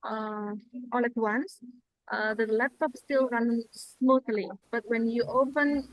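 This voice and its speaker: High-voiced Indonesian woman giving a lecture. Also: fairly even intonation, slow, no room sound, low-quality recording. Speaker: lecturer presenting at a language-learning seminar. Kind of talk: explanatory slide presentation.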